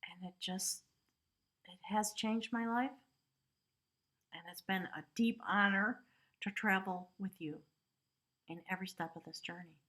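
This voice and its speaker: A woman's voice: medium pitch, steady tone, a little slow, pauses often, pushed and bright. A woman giving a casual spiritual tarot reading, talking chattily with lots of "you know" and "right?" asides. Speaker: tarot reader.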